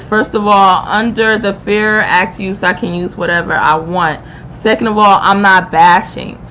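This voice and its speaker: A woman's voice: medium pitch, pushed and bright, rough recording. A woman hosting a casual live stream, giving slangy, opinionated commentary and explaining herself as she goes. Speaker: livestream host and YouTube creator. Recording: clear background noise on a livestream.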